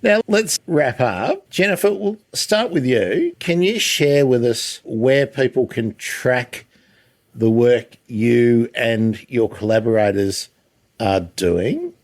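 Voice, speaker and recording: An Australian man moderating a panel. His voice is of average pitch for a man, and he speaks conversationally, setting out questions and links in an orderly manner. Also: animated, takes it slowly. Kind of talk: hosting a panel discussion.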